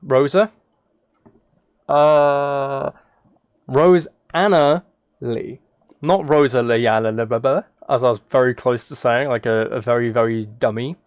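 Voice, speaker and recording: A British man talks casually as he streams a game. His pitch sits in the middle, and he rambles along with self-deprecating, apologetic asides. The recording is very dry and close, with no room sound. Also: fairly even intonation, slow, smooth, no room sound, lo-fi sound. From United Kingdom. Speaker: live streamer playing a video game. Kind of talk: casual livestream chat while gaming.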